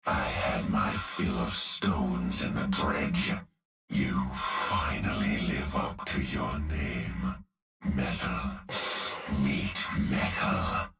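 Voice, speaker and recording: A man's voice: rumbling low, gravelly, fairly bright tone, exaggerated voice. An actor playing a hulking, vengeful cyborg executioner man who gloats and threatens in game voice lines. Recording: echoey, distant, faint music behind.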